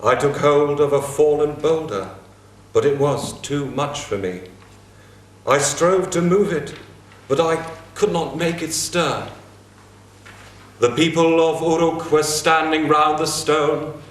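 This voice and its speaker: A man's voice: fairly high pitch, fairly bright tone. A man reading the part of a proud young warrior king in a staged reading of an old epic. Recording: echoey room, mic at a distance.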